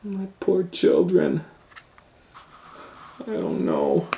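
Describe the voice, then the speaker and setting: A man's voice: on the high side, huge pitch swings, extremely slow, creaky, dark tone, dull audio, voice pushed up, full character voice. A poor, timid woodcutter from a storybook, as a man reads him. He sounds meek and fretful under his wife's thumb. The room echoes and there is some noise. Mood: anguished. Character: timid, worried, henpecked poor woodcutter father.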